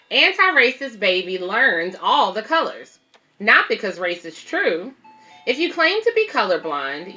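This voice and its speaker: A woman's voice: medium pitch, sharp tone, lo-fi sound. A woman hosting a children's read-aloud, reading a picture book and explaining it simply to young listeners.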